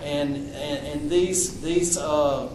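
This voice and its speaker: A man speaking loudly and bluntly to a public meeting, with frequent restarts. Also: fairly high pitch, steady tone, drawn-out words, distant mic. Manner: loud, outspoken, with frequent restarts. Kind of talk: impromptu public address. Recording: echoey room recorded from afar, noisy.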